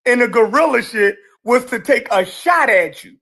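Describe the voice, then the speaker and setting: A man's voice: very high voice, animated, normal speed, bright, forceful tone. A man in a heated group conversation who keeps cutting in to explain his point and insists on being heard.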